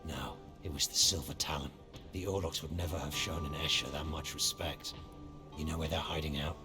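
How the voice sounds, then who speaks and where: An actor playing a gruff, impatient bounty hunter in a video game, talking in a terse, blunt way. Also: male voice, rumbling low, fairly even intonation, brisk, over music. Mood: grim, terse. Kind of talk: video game cutscene acting.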